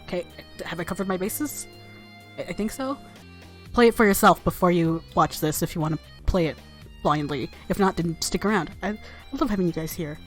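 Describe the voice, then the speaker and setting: Lower-pitched woman hosting a casual let's play. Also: fairly quick. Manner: rambling, self-deprecating, informal. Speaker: gaming video host. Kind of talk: casual let's play commentary, reading game text aloud. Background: soft game music.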